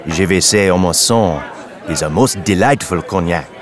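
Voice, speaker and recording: A man's voice: low-pitched, a crowd in the background, voice pushed down, close to an everyday voice. A man voicing a proud colonel and marquis, speaking English. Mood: courtly. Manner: slow, proud, precise. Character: haughty French colonel, a marquis, middle-aged.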